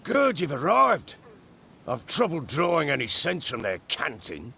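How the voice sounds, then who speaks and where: Casting: imperious game warlord, haughty and coarse. Highly varied intonation, gravelly creak, measured pace. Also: male voice, wildly swooping pitch, bright, forceful tone, exaggerated voice. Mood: urgent, commanding. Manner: imperious, scornful, blustering. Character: haughty, brusque, foul-mouthed lord commanding soldiers. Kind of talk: video game voice acting.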